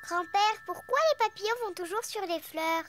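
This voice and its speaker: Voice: very high voice, moderate pace, a bit bright. A voice actor playing a cheerful, chatty little girl pig in a French preschool cartoon. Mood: playful, chatty. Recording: music behind the voice.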